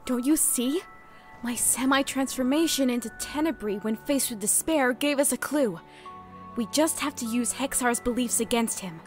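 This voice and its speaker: A woman voicing a young female pony heroine with a dark past, speaking in a subdued, earnest way in a fan audio drama. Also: on the high side, moderate pace, soft background music, lightly acted. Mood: determined.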